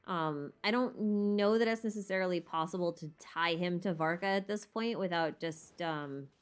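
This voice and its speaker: A woman's voice: lowish voice, moderate pace. A woman hosting a casual livestream Q&A, talking informally and hesitantly as she thinks aloud. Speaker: livestream host answering viewer questions. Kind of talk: casual livestream Q&A chat about game lore.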